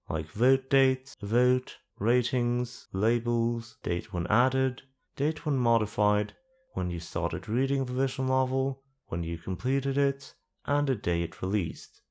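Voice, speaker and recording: A man's voice: medium pitch, animated, slow, darkish tone. A man narrating an explanatory tutorial in a plain-spoken, dry, self-deprecating way. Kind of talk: explanatory screen-guide narration with casual self-deprecating asides.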